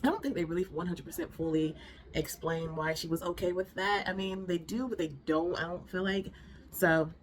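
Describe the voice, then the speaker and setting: A woman's voice: lowish voice, moderate pace, slight background noise. Nasal, chatty woman recapping a drama. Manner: rambling, chatty, many fillers and restarts. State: nasal from a cold. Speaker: reaction video host. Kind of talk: casual drama recap and review.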